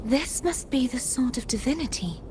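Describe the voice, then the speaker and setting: An actress voicing a gentle, mysterious young maiden in a video game, speaking in polite, measured, formal phrases. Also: female voice, high-pitched, lively intonation, heavy background noise. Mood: searching, puzzled. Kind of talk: scripted video game voice acting. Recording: music under the voice.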